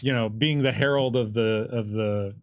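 A man's voice: fairly low pitch, steady tone, normal speed. Casual man running a tabletop game. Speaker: game master. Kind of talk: narrating a tabletop roleplaying game. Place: indoor streaming studio with curtain backdrop. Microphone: desk microphone.

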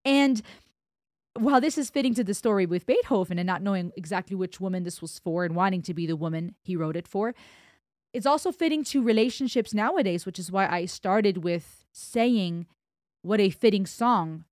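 A woman's voice: mid-range voice, lively intonation, moderate pace, darkish tone, no room sound, clean studio sound. Thoughtful woman. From Germany. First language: German. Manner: thoughtful, emphatic, explains with hand gestures. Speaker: music reaction host. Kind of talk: analytical song reaction. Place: small home studio indoors. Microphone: close studio broadcast mic on boom arm, wearing headphones.

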